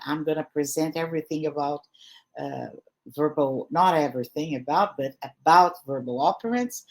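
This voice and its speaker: A woman with a Brazilian accent, Portuguese her first language, lectures over a video call. Her voice sits a little low, and she often pauses on fillers and restarts her phrases. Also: poor audio. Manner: hesitant, frequent fillers and restarts. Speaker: guest lecturer. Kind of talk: academic webinar lecture.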